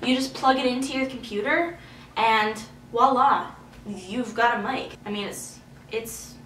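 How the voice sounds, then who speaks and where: A woman's voice: on the high side, a little slow, a bit bright, a little hiss or hum. A woman vlogger talking candidly and emotionally to camera, swearing casually. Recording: roomy echo, heard from a distance.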